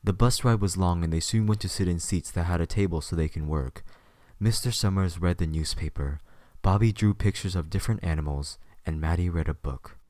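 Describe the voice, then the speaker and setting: A man's voice: deep voice, a little fast, a little mellow, no room sound, clean studio sound. A man reading scripted third-person story narration as the narrator of an audio drama.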